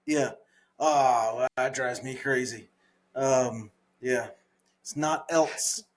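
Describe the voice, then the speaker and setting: A man's voice: mid-range voice, drawn-out words. A man with a Southern accent co-hosting a podcast, chatting casually, laughing often and trailing off while he searches for words. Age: adult. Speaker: podcast co-host. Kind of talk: casual chat.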